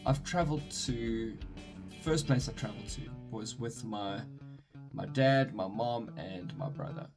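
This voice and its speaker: A man's voice: lowish voice, measured pace, over music. Chatty young South African man. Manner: rambling, self-correcting, chatty. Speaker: vlogger. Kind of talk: casual talk to camera. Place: his bedroom.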